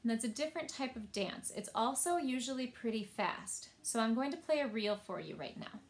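A woman's voice: fairly high pitch, lively intonation, fairly quick, background noise. Woman hosting a children's story time. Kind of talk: presenting a children's story time, explaining simply. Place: small indoor room at home.

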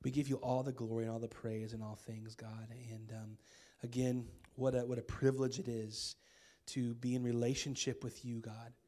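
A man in his thirties with an American accent preaching a sermon on a church stage into a handheld mic, his voice hoarse from a cold, casual and conversational with many fillers. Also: medium pitch, little pitch movement, moderate pace, mellow. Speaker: pastor. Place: church stage with string lights.

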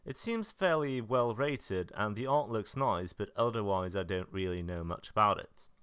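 Low-voiced man with lively, swinging intonation. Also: moderate pace, clear, resonant tone, no room sound, clean studio sound. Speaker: video narrator reviewing game releases. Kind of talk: semi-scripted review narration.

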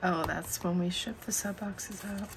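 Slow, gravelly woman losing her voice. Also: fairly low pitch, fairly even intonation, background noise. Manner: slow and musing, thinking aloud. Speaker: planner channel host. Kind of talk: casual plan-with-me chat. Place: indoors at a desk.

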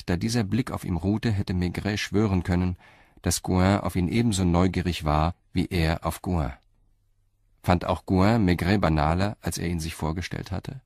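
A man narrating a crime radio play in a measured, articulate, literary style. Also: low-pitched, vocal fry, darkish tone, no echo, clean studio sound.

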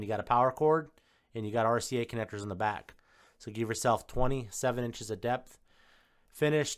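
Guy doing a casual unboxing, regular pitch, informal and off the cuff, short phrases with specs. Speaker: home theater installer presenting a product unboxing. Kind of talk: casual unboxing and spec review.

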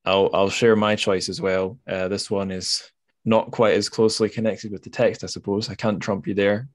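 A man's voice: on the low side, flat delivery, normal speed, dry recording, clean studio sound. A man hosting a podcast interview in a casual, chatty and self-deprecating manner. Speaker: podcast host.

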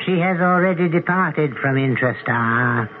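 Solemn, slow-spoken judging god. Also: male voice, fairly high pitch, animated. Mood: stern. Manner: solemn, formal, imperious. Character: stern supreme god pronouncing judgment. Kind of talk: 1970s radio drama acting. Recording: narrowband old radio with music behind.

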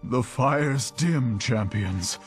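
A man playing a weary, fading champion in a video game, speaking slowly and solemnly. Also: medium pitch, faint music behind. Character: weary, fading champion passing on his last power. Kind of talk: video game character acting.

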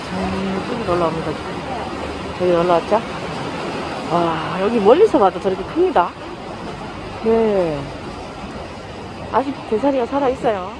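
A woman's voice: medium pitch, unhurried, pauses often. A woman hosting a fishing video, exclaiming and laughing as she films outdoors on a rocky seawall. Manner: exclaims often, laughs a lot. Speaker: fishing channel host filming. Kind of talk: excited live commentary while filming. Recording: outdoor wind and surf noise. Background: wind and waves.

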